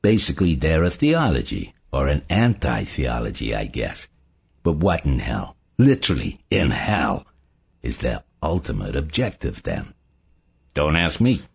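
A man voicing a gruff, thoughtful, skeptical male investigator in audiobook dialogue, murmuring in a gravelly voice on a dull lo-fi recording. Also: rumbling low, huge pitch swings, a little slow, pitched below the performer's own voice, exaggerated voice. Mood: musing, puzzled. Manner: soft, murmuring, musing.

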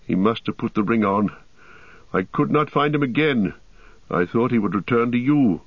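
A man voicing a grim, troubled male warrior who answers slowly and evasively, for a storybook. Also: medium pitch, animated, dry recording, lo-fi sound. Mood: guarded. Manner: slow, evasive, sombre.

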